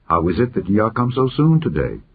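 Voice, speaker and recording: A man reading the part of a hospitable priest and father, questioning briskly in formal scripture language. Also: on the low side, sing-song. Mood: curious, urging. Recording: muffled phone-like narrow-band audio.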